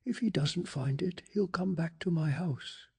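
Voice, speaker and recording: A man voicing a determined but shaken young boy in a hushed, urgent storybook reading. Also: a little high, measured pace, vocal fry, breathy edge, full character voice. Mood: urgent insistence.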